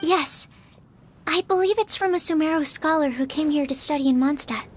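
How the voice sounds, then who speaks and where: A woman's voice: high-pitched, moderate pace, lightly acted. A woman playing a shy, earnest young alchemist's assistant in a video game, timid and hesitant. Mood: earnest, matter-of-fact. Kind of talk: video game character acting. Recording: music playing behind the voice.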